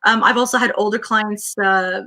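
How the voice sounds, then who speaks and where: A woman with a Canadian accent, a counselor answering interview questions in an earnest, quick storytelling manner with frequent restarts. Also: medium pitch, fairly even intonation, bright, forceful tone.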